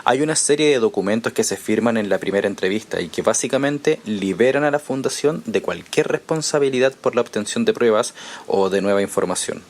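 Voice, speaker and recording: An actor playing a calm, diplomatic male journalist in an audio drama, explaining things in a composed, reassuring way. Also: on the low side, a little fast, lightly acted. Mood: grave, explanatory. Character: Néstor, calm, diplomatic journalist guiding an experimental procedure.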